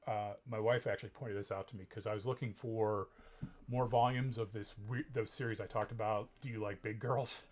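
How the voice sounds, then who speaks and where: A man chatting casually and ironically about books he picked up, deadpan and joking, with many pauses and restarts. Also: lowish voice, slight background noise. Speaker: vlogger. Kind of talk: casual ironic haul chat.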